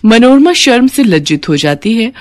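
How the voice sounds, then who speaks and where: A woman's voice: a little high, very animated, moderate pace. Woman narrating a family moral story.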